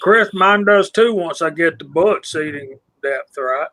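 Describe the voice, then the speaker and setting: Higher-voiced man in his fifties chatting. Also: unhurried. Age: 50s. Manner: relaxed, self-deprecating, asks guests questions. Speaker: live stream co-host. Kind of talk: casual chat.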